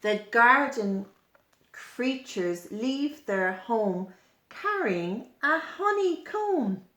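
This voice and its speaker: A woman reading a children's story aloud in an expressive, deliberate, emphatic way, adding simple explanatory asides. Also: fairly high pitch. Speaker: storyteller at a special school. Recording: roomy, echoing indoor sound.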